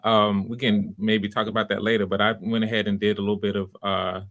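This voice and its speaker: Male audition host, fairly low pitch, casual and encouraging, talking conversationally on a livestream. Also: little pitch movement, fairly quick, a bit bright. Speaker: host and casting director of live auditions. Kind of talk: casual livestream feedback and hosting. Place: live video call stream.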